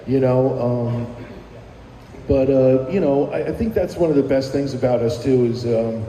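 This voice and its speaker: Rambling middle-aged man, dark voice. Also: mid-range voice, moderate pace, a little hiss or hum. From New Jersey. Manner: rambling, many fillers and restarts. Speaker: musician guest. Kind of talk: casual interview chat. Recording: echoey room with long reverb.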